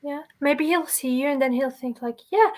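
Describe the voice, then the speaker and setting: A young woman with a Norwegian accent hosting a casual livestream interview, bubbly and encouraging, quick to laugh. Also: high voice. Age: young adult. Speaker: host.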